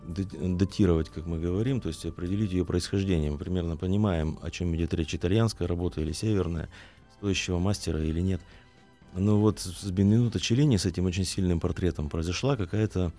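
A man speaking as an interview guest, explaining in a measured, halting way and choosing words carefully. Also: deep voice, mellow. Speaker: studio guest, art collector.

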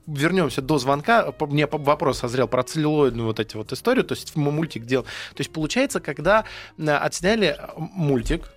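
A man co-hosting a casual radio talk show, haltingly rephrasing his questions as he goes. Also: on the high side, lively intonation. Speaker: radio co-host.

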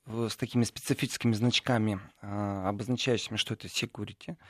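A man answering interview questions in a relaxed, digressive way, with many fillers and asides. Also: lowish voice, quick pace, dry recording, studio quality. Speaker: guest describing parliamentary assembly.

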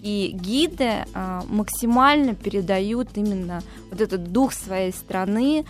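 Casting: female radio guest, mid pitch, eager but halting, faint music underneath. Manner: enthusiastic, hesitant with frequent fillers. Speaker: travel agency guest. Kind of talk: radio interview.

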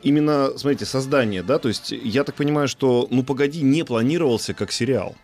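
Fast-talking male host, informal. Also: mid-range voice, a little mellow, faint music behind. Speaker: talk show host. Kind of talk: casual, joking studio chat.

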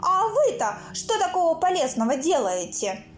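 A woman's voice: very high voice, very animated, normal speed, faint music behind, pitched above the performer's own voice. A woman voicing a snobbish, indignant male musical instrument in a storybook, haughty and exclamatory. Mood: indignant, scolding.

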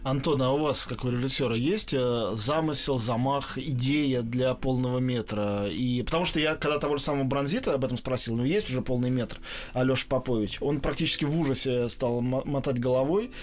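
A man's voice: medium pitch, fairly quick. A man hosting an interview, asking long, searching questions in a conversational way and often correcting himself as he goes. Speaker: interviewer, show host.

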